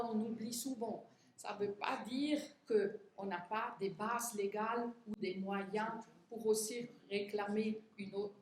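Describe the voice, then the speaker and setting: A middle-aged woman from Switzerland giving a talk in French, which is not her first language, hesitating often with fillers and restarts. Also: mid-range voice. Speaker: conference speaker. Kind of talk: lecture to union retirees. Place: conference hall. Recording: echoey hall, faint room noise.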